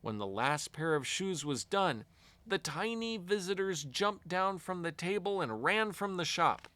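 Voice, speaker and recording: A man reading a fairy tale aloud to children as an expressive storyteller, with deliberate storybook phrasing. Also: sits high.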